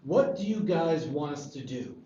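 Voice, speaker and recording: A man acting a brisk, dismissive school principal in a screenplay table read, businesslike and official. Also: fairly high pitch, animated, dark tone, natural voice. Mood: official, brusque. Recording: far from mic, echoey, dull room.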